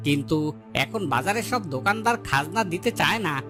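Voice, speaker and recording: A man's voice: high-pitched, animated, fairly quick. A man playing a village headman's deferential, grumbling henchman in a cartoon. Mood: deferential, complaining. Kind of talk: Bengali moral-story cartoon dubbing. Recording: loud music bed behind the voice.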